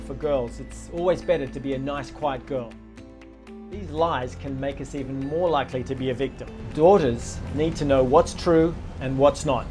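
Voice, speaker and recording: A middle-aged man, a self-defense coach, giving an earnest, emphatic scripted narration. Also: medium pitch. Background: background music.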